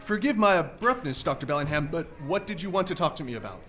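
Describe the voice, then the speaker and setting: A man performs a polite but wary hotel guest, a husband, for live radio drama. The voice is high, with faint noise behind it. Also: lively intonation, quick pace, a bit bright. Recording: live stage recording with background noise.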